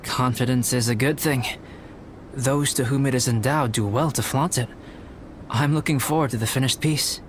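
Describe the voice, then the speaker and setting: A man voicing a calm, polite young scholar and artist in a video game, speaking in a measured, formal manner. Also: medium pitch, noisy background, natural voice. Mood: composed. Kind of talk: animated game voice acting. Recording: music behind the voice.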